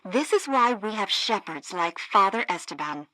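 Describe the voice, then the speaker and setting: A woman's voice: mid-range voice, expressive, moderate pace, sharp tone, no room sound, rough recording. An actress playing an earnest, cheeky female radio news presenter in a comedic video game. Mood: newsreader polish.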